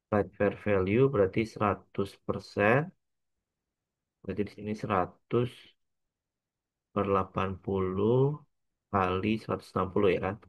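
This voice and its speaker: Low-voiced Indonesian man lecturing haltingly. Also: flat delivery, highs cut off. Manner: halting, repeats points, frequent checking tags. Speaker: accounting lecturer. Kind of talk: lecture, working through an example step by step.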